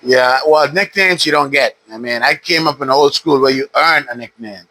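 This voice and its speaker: A man in his fifties from the Bahamas, a retired boxer calmly telling his story in an interview at a boxing gym. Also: medium pitch, normal speed, no echo, rough recording. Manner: relaxed, steady storytelling with frequent "uh". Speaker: retired pro boxer, guest. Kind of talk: casual interview.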